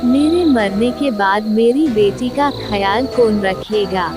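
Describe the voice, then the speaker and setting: Woman as a high-pitched, pleading wounded witch. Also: takes it slowly. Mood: pained, pleading. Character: wounded dying female witch, pleading, motherly. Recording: music behind the voice.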